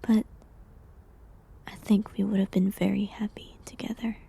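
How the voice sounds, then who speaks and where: A woman playing a gentle, resigned prisoner accused of witchcraft in an intimate medieval audio drama, with a breathy, half-whispered, hesitant and pleading delivery. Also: medium pitch, flat delivery, full character voice. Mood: tender, regretful. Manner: slow, hesitant, pleading, slightly formal old-fashioned wording.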